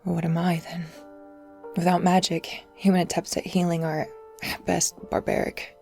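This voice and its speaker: A frightened, confused female patient played by a woman in a horror audio story. Her voice is hushed and haunted, creaky and fairly even, often sinking to a whisper, with music behind it. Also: fairly low pitch, moderate pace. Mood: uneasy, puzzled. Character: confused, frightened patient waking blind and immobile. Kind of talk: first-person horror audio fiction.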